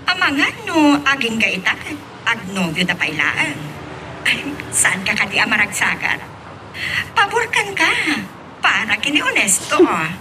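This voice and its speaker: A sharp, very bright-voiced aunt in an Ilocano radio soap. She is sly and needling, with lively swings of pitch and an Ilocano accent. Faint noise sits behind the voice. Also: a little slow, full character voice, poor audio. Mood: sly, provoking. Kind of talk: Ilocano radio drama acting.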